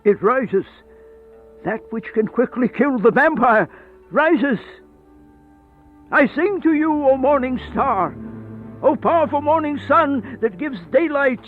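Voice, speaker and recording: A man's voice: very high voice, lively intonation, heavily acted. An actor playing an aged, sickly male vampire, bitter and grandiose, in theatrical, declamatory radio horror style. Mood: exalted anguish. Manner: theatrical, declamatory, drawn-out phrasing. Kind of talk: radio horror play acting. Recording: thin phone-like band-limited audio with music.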